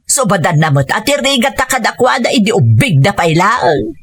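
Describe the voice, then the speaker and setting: A woman with a Filipino accent playing a demanding, grumbling elderly mother with a husky voice in a radio drama. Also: mid-range voice, very animated, normal speed, soft background music. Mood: scornful, complaining. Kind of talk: Ilocano radio drama acting.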